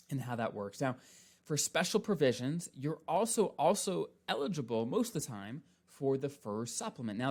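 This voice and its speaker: Male presenter, mid-pitched, conversational financial explainer; walks through points step by step, checking in often. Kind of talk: casual instructional explanation.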